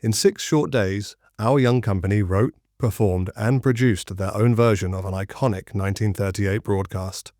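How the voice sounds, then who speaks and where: A low-voiced man delivers a scripted promo introduction as narrator. The recording is dry and close, with little room sound. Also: moderate pace, few pauses, darkish tone, dry recording.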